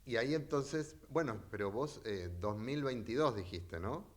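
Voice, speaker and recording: A man's voice: mid-range voice, expressive, measured pace. A man co-hosting a casual interview, prompting his guest conversationally and often checking with short tag questions. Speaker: interview show host.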